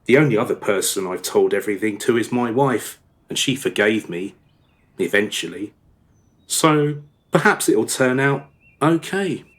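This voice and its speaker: Actor playing a remorseful father, animated rambling storyteller. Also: lowish voice, normal speed. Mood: sheepish, confiding. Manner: rambling, over-descriptive, hesitant reminiscing. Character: remorseful married father confessing past affair to his daughter. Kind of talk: radio play dialogue, storytelling monologue.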